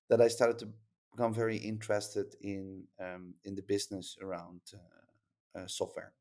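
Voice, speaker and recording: Gravelly Dutch man, flat low voice. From Netherlands. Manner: rambling, many fillers and restarts. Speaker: startup founder guest. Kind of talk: casual podcast conversation.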